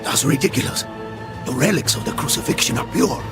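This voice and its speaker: An actor playing a loyal, wry Spanish mercenary with a Spanish accent and a gravelly voice in a video game. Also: male voice, expressive, a little fast, close to an everyday voice. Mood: doubtful. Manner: familiar, wry, peppered with Spanish words. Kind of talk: dramatic video game cutscene acting. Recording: music and rumble behind.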